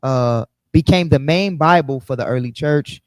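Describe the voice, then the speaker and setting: A man's voice: on the high side, normal speed, no room sound. A man teaching a casual bible study, often checking in with the listeners by asking "right?".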